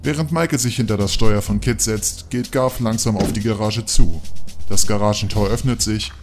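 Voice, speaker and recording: Low-pitched male audio drama narrator. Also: normal speed, music in the background.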